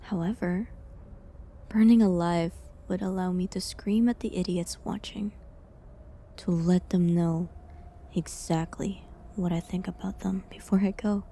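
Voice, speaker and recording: A woman playing a falsely accused village healer in a medieval audio drama, sad and resigned, speaking softly and hesitantly with pauses. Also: on the low side, fairly even intonation. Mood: wry, resigned. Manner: soft, hesitant, breathy delivery with pauses. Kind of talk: dramatic audio roleplay monologue, medieval setting.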